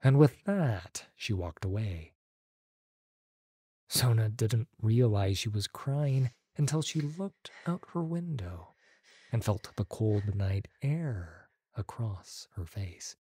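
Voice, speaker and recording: A man narrating an audiobook story in a measured, expressive way. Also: on the low side, slightly rough, no room sound.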